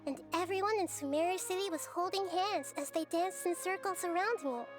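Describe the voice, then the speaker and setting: A woman voicing a kind, childlike goddess in a video game, calm and thoughtful. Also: very high voice, brisk, loud music behind the voice. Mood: dreamy.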